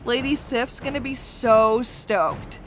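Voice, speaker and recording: A woman playing an excitable, giddy young goddess in an audio drama, gushing in casual slang. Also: a little high, slow, faint music behind. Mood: excited.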